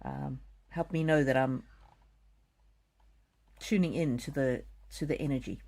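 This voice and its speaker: A middle-aged woman from South Africa giving a gentle live oracle card reading, speaking softly and addressing viewers by name. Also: very deep voice, steady tone, measured pace, vocal fry. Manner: gentle, soothing, talks straight to viewers by name. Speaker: card reader.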